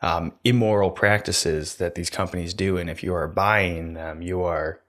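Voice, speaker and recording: A young man co-hosting a podcast, talking in a calm, even, monotone way close to a studio mic in a small room. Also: deep voice, normal speed. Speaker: podcast co-host. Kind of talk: casual chat. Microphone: studio mic on stand, close.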